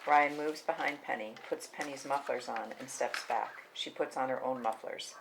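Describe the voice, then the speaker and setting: A man reads scene headings and action from a film script. His voice has a middling pitch, in scripted table-read narration, with faint noise behind it. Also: moderate pace, creaky. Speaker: stage-direction narrator.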